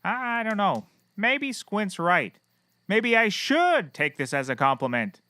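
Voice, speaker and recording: An actor playing a deluded, cheerfully oblivious private investigator who talks excitably and boastfully in a scripted comedy. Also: male voice, sits high, measured pace, dry recording, heavily acted. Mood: blithe. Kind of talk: scripted comedy audio acting.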